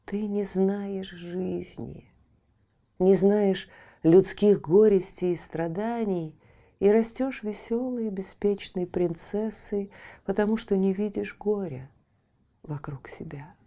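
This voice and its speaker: A woman's voice: medium pitch, warm tone. A woman doing a stern old crone. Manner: stern, slow, somber. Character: old stern ragged woman named Life. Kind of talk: storybook reading.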